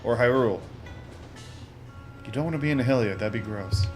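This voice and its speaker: A man's voice: mid-range voice, normal speed. A young man hosting a let's-play, chatting casually and rambling with lots of fillers and self-corrections. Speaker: gaming channel host. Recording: game music playing behind voice. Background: video game music.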